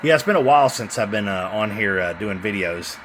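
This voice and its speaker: A man casually demonstrating a radio he is selling, talking in an informal, halting, hands-on way. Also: on the low side, animated, fairly bright tone. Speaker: CB radio seller. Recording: noisy room with radio hiss.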